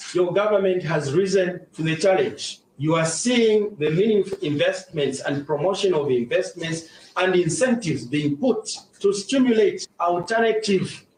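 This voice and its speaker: A man's voice: high-pitched, a little mellow. A man giving a formal, emphatic public address as a government official. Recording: echoey, distant mic, faint background noise.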